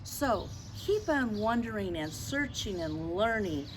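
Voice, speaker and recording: An older woman tells a story to young children, taking her time over each phrase. Her pitch moves in lively, expressive swings, and she often turns to her listeners with gentle asides and questions. Noticeable ambient noise sits behind her voice. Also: takes it slowly. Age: 60s or older. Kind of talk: picture book read-aloud to children with gentle asides.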